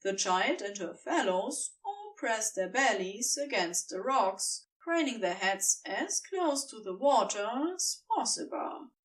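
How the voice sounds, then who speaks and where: Narrator wanted: woman, highish voice, expressive and slow-paced, reading a story, slightly off the mic. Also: recorded from a distance, low-quality recording.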